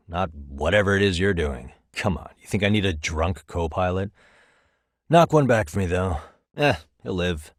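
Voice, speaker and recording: A man's voice: low-pitched, dry recording, clean studio sound. A man playing a streetwise private detective in a video game, cool, wry and dogged, with an American accent and a casual, conversational delivery. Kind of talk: English video game voice acting.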